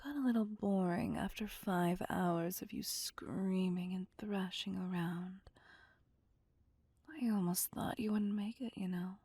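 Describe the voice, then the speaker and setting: A woman's voice: medium pitch, steady tone, mellow, no echo. A woman playing a seductive, possessive female vampire in a whispered ASMR roleplay, with a teasing, domineering manner. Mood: teasing, gloating. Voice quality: breathy, whispery. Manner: slow, teasing, domineering, purring.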